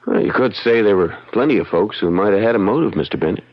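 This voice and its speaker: A man playing a modest, self-deprecating young frontier lawyer in a radio drama, with a gravelly voice and halting, folksy, plain-spoken delivery. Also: lowish voice, natural voice. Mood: politely probing. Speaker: actor. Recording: muffled radio drama recording.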